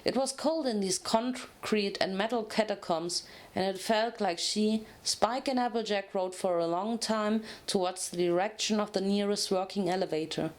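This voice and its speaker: A woman's voice: medium pitch, normal speed, hardly any pauses. Woman reading a story aloud dramatically. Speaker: fan fiction reader.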